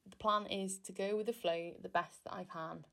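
Chatty young woman with a creaky voice. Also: mid-range voice, steady tone, moderate pace, a bit bright, faint music behind. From Wales. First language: Welsh. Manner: chatty, self-deprecating, rambling with fillers. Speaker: podcast host. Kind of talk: casual solo podcast monologue.

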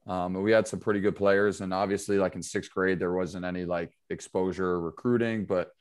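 Easygoing low-voiced man. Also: a little fast, clear, resonant tone. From upstate New York, USA. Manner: relaxed, loose, filler-heavy talk. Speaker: basketball trainer, guest. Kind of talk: casual interview chat.

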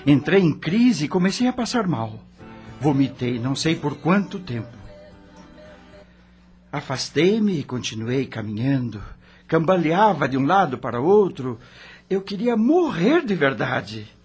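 Anguished male ghost, animated and high. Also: unhurried. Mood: anguished, haunted. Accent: Brazilian. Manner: dramatic, emotional delivery. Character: anguished male rock star's ghost, frightened and lost. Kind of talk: first-person radio drama narration. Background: background music.

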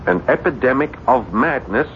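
An actor playing a desperate, stubborn, haunted writer in a radio drama, speaking urgently and sometimes haltingly. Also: male voice, medium pitch, expressive, close to an everyday voice. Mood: agitated, insistent. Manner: urgent, agitated, halting when distressed. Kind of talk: 1970s radio drama acting. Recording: muffled, noisy 1970s radio recording.

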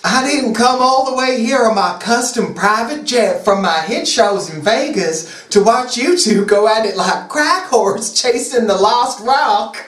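A woman's voice: a little high, lively intonation, unhurried, few pauses, echoey room, distant mic, pitched above the performer's own voice. A woman voicing a bossy young female werewolf alpha and pop star in a comic story, with an unconvincing Southern drawl and a scolding manner. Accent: unconvincing put-on Southern US drawl.

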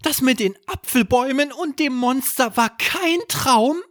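High-pitched anxious little boy. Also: measured pace, a bit bright, no room sound, studio quality. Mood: puzzled, anxious. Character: small, wide-eyed, anxious, curious boy.

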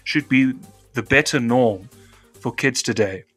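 A man's voice: medium pitch, unhurried, fairly bright tone, breathy edge, faint music behind. A South African-born man with a British-trained accent, hosting a podcast chat with formal, careful diction and long winding sentences. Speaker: podcast host.

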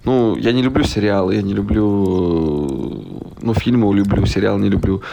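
A man's voice: lowish voice, normal speed, vocal fry, dark tone. A man of about thirty from Russia, a podcast guest rambling in casual chat, full of fillers, restarts and blunt profanity.

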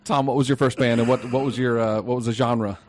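A man's voice: mid-range voice, fairly even intonation, quick pace, heavy background noise. A man hosting a casual interview, asking eager questions. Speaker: interviewer host.

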